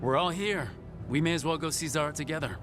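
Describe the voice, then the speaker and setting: An actor playing a steady, supportive adventurer and team leader in a video game, calm and wry. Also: male voice, fairly high pitch, fast, music in the background, lightly acted. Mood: matter-of-fact.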